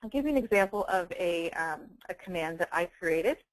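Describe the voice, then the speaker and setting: A woman giving a webinar software demonstration, enunciating clearly as a presenter. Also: fairly low pitch, lively intonation, a little fast, dry recording, low-quality recording. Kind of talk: webinar product demonstration with dictation. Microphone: close handheld speech mic.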